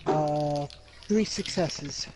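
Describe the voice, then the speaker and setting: A man's voice: fairly high pitch, extremely slow, over music. A man speaking casually as a player in a tabletop role-playing game. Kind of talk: casual chat. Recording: noisy background with clicks.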